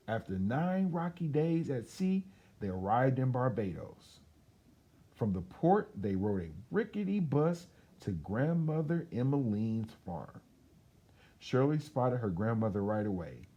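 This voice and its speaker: A man reading a children's storybook aloud as a storyteller, in an expressive, chatty way with casual asides. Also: medium pitch, dull audio.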